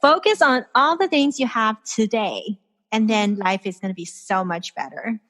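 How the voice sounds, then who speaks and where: A woman's voice: a little high, normal speed, a bit bright. A woman life coach speaking as a guest over a remote call, upbeat and encouraging, often ending her points with "right?". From far from the host's country. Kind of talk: casual interview chat.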